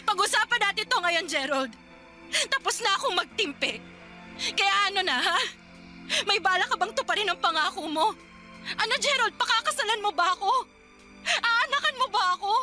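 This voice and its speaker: Girlfriend in a radio drama, very high voice, lively, confrontational, faint music bed. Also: normal speed, pauses often, exaggerated voice. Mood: demanding. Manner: pleading, confrontational. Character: Ana, woman pressing her partner for commitment.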